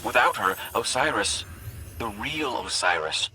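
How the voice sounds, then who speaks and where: A man's voice: lowish voice, expressive, unhurried, very noisy. A man playing a worried, loyal comrade who clings to hope, in dramatic video game dialogue. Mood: worried, hopeful.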